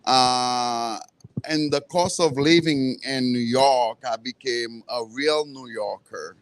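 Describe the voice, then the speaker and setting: A man with a Haitian accent and Creole as his first language makes personal remarks in public. His voice is smooth and slightly high, captured dry. He speaks slowly, in a measured, halting way. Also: no room sound, low-quality recording. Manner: halting, measured, with self-corrections. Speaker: librarian, campaign participant. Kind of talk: prepared personal remarks at a press conference. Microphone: event microphone.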